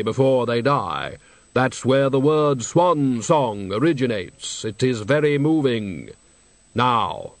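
Death for a storybook, read by a man, grave and patient, slow deliberate delivery, dry sound. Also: mid-range voice, no echo.

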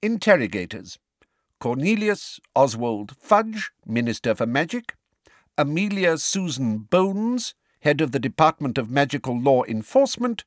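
A man's voice: mid-range voice, fairly bright tone, no room sound, studio quality, voice pushed up, exaggerated voice. A man voicing a cold, pompous middle-aged male official in an audiobook, declaiming formally in a ringing, officious way.

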